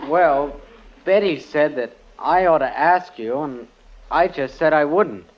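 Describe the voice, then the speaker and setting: An American male actor playing an excitable, aggrieved teenage son in an old-time radio family comedy, blurting complaints. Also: on the high side, measured pace, lightly acted. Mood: sheepish, protesting. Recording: dull old radio recording.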